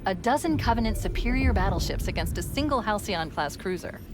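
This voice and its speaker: Actress doing composed game ai lady, wry and quick-witted, music playing behind her. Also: mid-range voice, lively intonation, lightly acted. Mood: wry, smug. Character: shipboard AI woman, confident, wry, quick-witted. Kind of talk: video game cutscene acting.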